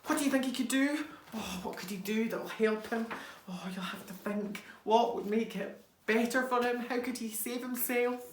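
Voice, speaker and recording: A man reading a children's storybook aloud as a teacher, expressively, with dramatic pauses and hushed asides. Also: very high voice, slight background noise. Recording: roomy, echoing indoor sound.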